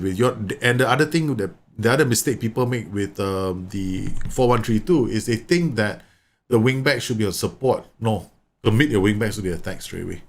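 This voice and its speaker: A chatty man in his thirties, talking through a live game stream. His voice sits a little low, and he often stumbles and repeats words as he explains tactics at length. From Singapore. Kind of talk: live gameplay commentary and chat.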